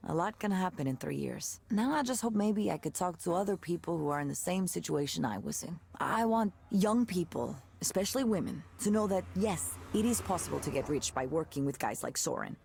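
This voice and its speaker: An actress playing a weary, candid former tech worker in a visual novel, speaking in a wry, conversational way with halting asides. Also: female voice, on the low side, lively intonation, creaky, darkish tone, slight background noise. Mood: reflective, earnest. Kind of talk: naturalistic visual novel voice acting.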